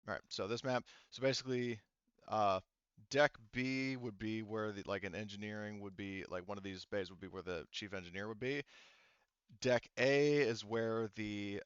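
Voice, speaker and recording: A man running a tabletop roleplaying game as game master, explaining details casually in a halting way. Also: lowish voice, fairly bright tone, smooth, no echo, highs cut off.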